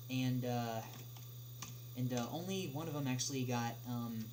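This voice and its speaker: A young man answering questions in a casual livestream chat, speaking haltingly with many drawn-out filler pauses. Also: medium pitch. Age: young adult. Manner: halting, hesitant delivery with frequent long filled pauses. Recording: clearly noisy, humming background.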